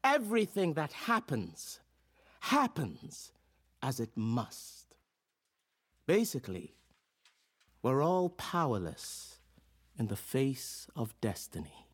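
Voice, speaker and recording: A forceful philosophy professor, super emphatic and blunt, voice goes all over, audio drama. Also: mid-range voice, huge pitch swings, slow pace, pauses often, breathy edge, heavily acted. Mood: grandly assured. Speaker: actor playing a professor.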